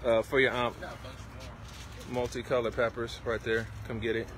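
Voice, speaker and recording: A middle-aged man hosting a casual livestream as a farm stand vendor, with an enthusiastic, repetitive sales pitch. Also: flat delivery, normal speed, fairly bright tone. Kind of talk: casual promotional livestream chat. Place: outdoors at a tented farm stand by a street. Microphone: handheld phone, around arm's length. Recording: noisy outdoor street-side phone recording.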